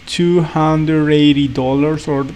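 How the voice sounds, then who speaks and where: A man's voice: fairly high pitch, steady tone, slow pace, darkish tone, slight background noise. A man from El Salvador, speaking English as a second language, giving a casual travel review with many fillers, restarts and apologies. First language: Spanish. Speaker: travel vlogger.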